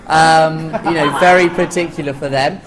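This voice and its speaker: A man's voice: fairly high pitch, fairly even intonation, moderate pace, a crowd in the background, rough recording. A man speaking informally and knowledgeably as a panelist in a live theatre discussion. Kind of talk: informal expert panel talk.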